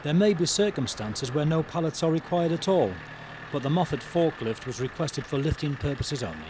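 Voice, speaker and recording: A man reading scripted instructional narration for a training film in a formal, measured way. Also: a little high. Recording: noisy 1990s training video, engine sounds.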